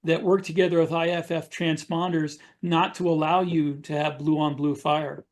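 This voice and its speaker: A man's voice: fairly high pitch, flat delivery, moderate pace. A man talking casually as an author on a podcast panel, explaining things and jumping in readily.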